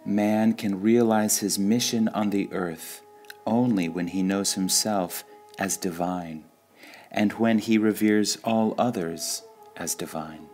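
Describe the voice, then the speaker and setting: A man reading devotional sayings from a script in a measured, deliberate way, pausing between short phrases. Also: lowish voice, fairly even intonation, darkish tone, soft background music. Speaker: reader of spiritual quotations.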